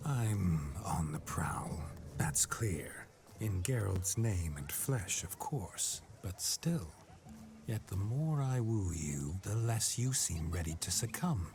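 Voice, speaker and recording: An actor playing a gruff, flirtatious man possessed by a noble ghost, with a deep voice that drops near a whisper. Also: animated, background noise, full character voice. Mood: flirting. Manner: slow, wry, seductive drawl. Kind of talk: video game cutscene voice acting. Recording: game soundtrack music behind.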